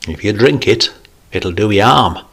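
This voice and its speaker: A man's voice: fairly low pitch, lively intonation, normal speed, fairly bright tone, breathy edge, heavily acted. A man voicing a jovial, preachy older man, raising his voice above his narration. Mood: coaxing. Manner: pleading, then cheery and coaxing.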